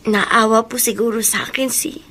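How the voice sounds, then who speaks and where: A woman's voice: a little high, fairly even intonation, normal speed, bright, forceful tone, faint music behind. An actress playing a polite, grateful teenage orphan girl in a Filipino radio drama, deferential and eager to please. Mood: earnest.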